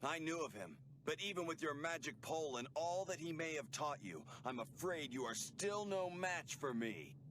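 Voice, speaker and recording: An actor playing a cocky young bandit in an old anime dub, with melodramatic delivery. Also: male voice, on the high side, big pitch swings, normal speed, a bit bright, slight background noise. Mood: threatening.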